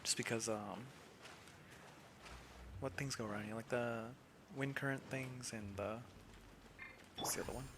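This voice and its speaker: A man with a mid-pitched voice mutters casual gameplay commentary in a halting way. His mic is clearly noisy, with game sound around him. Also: fairly even intonation. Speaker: gamer recording a playthrough. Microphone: gaming microphone. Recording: noisy home mic over game audio.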